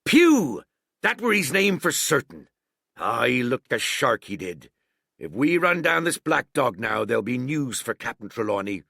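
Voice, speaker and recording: A man's voice: very animated, a little slow, creaky, pushed and bright, no room sound, studio quality, full character voice. A man voicing a hearty old one-legged male cook. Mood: blustering. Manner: blustering, booming sea-dog bluster.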